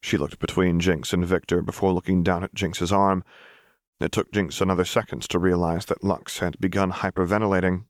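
A man's voice: low voice, flat delivery, fairly quick, dry recording, studio quality. A man reading scripted fiction as an audiobook narrator.

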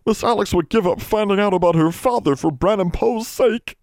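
Man putting on a high, servile little elf. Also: brisk, dry recording. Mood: tearfully moved. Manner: broken third-person servant grammar, eager and submissive. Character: timid servile male library elf, pleading, mischievous. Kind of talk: audiobook character voice.

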